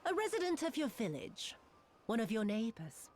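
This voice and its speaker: A woman's voice: fairly high pitch, sing-song, moderate pace, darkish tone, slight background noise. An actress playing a cruel, haughty female imperial viceroy who drawls with mocking, theatrical disdain. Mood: scornful.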